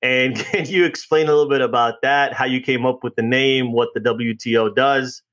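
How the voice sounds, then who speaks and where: A man's voice: mid-range voice, fairly even intonation, normal speed, fairly bright tone. Male host, mid voice, chatty with frequent restarts. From United States. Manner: friendly, restarts often, conversational. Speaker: podcast host. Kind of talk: casual interview chat.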